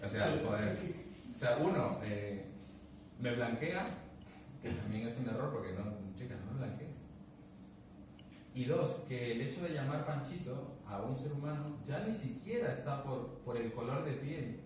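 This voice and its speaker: A man's voice: medium pitch, expressive, measured pace. A man in casual conversation, telling anecdotes and acting out the dialogue, with many restarts. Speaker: guest speaker. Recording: echoey room, distant mic, background noise.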